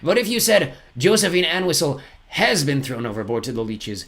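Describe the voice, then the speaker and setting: A man's voice: fairly high pitch, animated, fast, pitched above the performer's own voice. A man voicing a menacing, sly one-eyed sea captain villain with a mocking, theatrical manner in a storybook reading. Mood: sinister.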